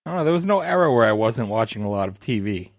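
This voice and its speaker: A man in his late thirties co-hosting a podcast, offering quick trivia asides and poking fun at himself. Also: fairly low pitch, big pitch swings, vocal fry, dry recording, studio quality. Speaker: podcast co-host. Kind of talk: casual chat.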